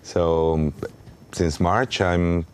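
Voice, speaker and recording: Very deep-voiced man with a Mexican accent. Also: fairly even intonation, very slow, clean tone. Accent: Mexican Spanish. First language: Spanish. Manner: earnest, with frequent ums and restarts. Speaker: artistic director. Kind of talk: interview guest.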